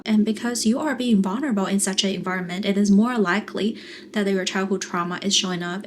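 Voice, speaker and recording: A young adult woman with a Mandarin Chinese accent, a therapist talking calmly and earnestly to camera, explaining things in a coaching style. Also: mid-range voice, a little hiss or hum. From China. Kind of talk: calm coaching monologue to camera.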